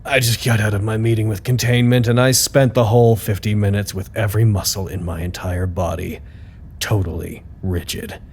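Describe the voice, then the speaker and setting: An actor playing a weary, earnest staffer who dictates a dry, self-deprecating work log in an audio drama. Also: male voice, fairly low pitch, steady tone, normal speed, a little mellow, a little hiss or hum, lightly acted. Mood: drained, rueful.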